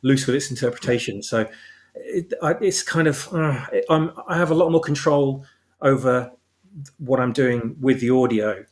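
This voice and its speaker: A man's voice: mid-range voice, normal speed. A man speaking as a guest creator in a casual discussion, often rephrasing as he works toward his point. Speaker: guest, audio drama creator.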